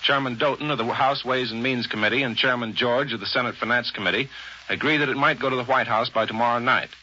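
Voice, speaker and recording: American male radio correspondent, 1940s: very bright, cutting tone, formal and factual, dull vintage broadcast sound with slight noise. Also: mid-range voice, fairly even intonation, quick pace, slightly rough. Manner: matter-of-fact, formal. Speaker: news correspondent. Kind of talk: 1940s radio news report. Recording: dull, faintly noisy 1940s radio recording.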